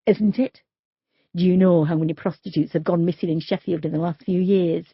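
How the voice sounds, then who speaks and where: A woman's voice: lowish voice, fairly even intonation, fairly quick, husky, no echo, dull audio. A woman reading the lines of a grieving, bitter working-class young man who swears and talks in a confrontational way. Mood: bitter, angry.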